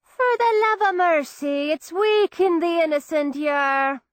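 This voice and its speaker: A woman's voice: very high-pitched, unhurried, no echo, clean studio sound, voice pushed up. A woman voicing a genial male Irish constable, lilting and coaxing. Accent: Irish, put on.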